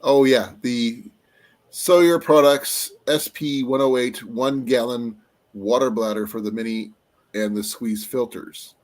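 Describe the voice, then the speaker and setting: A man hosting a casual live-stream chat, his voice going a little raspy, rambling along with fillers as he looks things up. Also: medium pitch, fairly even intonation, unhurried. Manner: rambling, trails off with fillers while looking things up. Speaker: livestream host.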